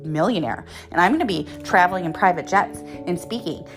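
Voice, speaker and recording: A woman's voice: lowish voice, lively intonation, a little fast. A woman in her thirties telling personal stories casually and candidly, rambling and swearing freely as she speaks as a host. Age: 30s. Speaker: host, author and motivational speaker. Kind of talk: casual personal storytelling monologue. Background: music.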